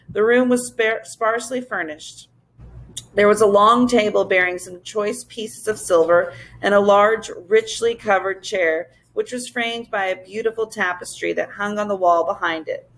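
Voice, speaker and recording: A woman's voice: medium pitch, low-quality recording. A woman narrating a children's story as a teacher reading aloud to a class.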